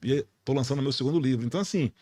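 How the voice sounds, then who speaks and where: Podcast host, male, Bahian Brazilian accent, rapid and blunt, slangy street talk. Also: medium pitch, mellow, slightly rough, no echo, studio quality. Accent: Bahian Brazilian Portuguese. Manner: blunt, slangy, emphatic, peppered with swearing. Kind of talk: informal podcast conversation.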